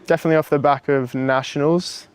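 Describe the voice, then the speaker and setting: A man, a futsal player, answering interview questions in a measured way with many pauses and ums. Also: on the high side, steady tone, clean tone.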